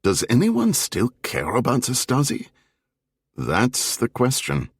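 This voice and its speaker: A man's voice: medium pitch, wildly swooping pitch, takes it slowly, slightly rough, dry recording, clean studio sound, voice pushed up, full character voice. A man voicing a mild, curious middle-aged German bartender with a German accent, in brief audiobook dialogue.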